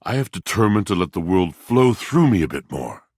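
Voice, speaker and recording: An actor playing a grandiloquent, melancholy washing machine in a video game, with florid, courtly, old-fashioned diction and a gravelly voice. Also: male voice, big pitch swings, normal speed, darkish tone, no room sound, clean studio sound. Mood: wistful, grateful. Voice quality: gravelly, deep. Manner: theatrical, florid, formal old-fashioned diction, savoring words. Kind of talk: theatrical video game character acting.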